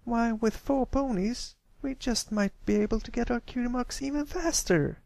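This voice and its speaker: A man's voice: extremely high pitch, normal speed, vocal fry, darkish tone, no echo, voice pushed up. A man voicing a friendly, eager young country girl pony with a folksy, plain-spoken manner, in a story reading. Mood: excited.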